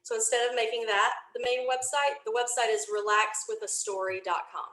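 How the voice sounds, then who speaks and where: A woman in her forties chatting casually as an interview guest, talkative and quick to laugh. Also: a little high. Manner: chatty, animated storytelling, laughs easily. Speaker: podcast creator, interview guest. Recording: roomy, echoing room sound.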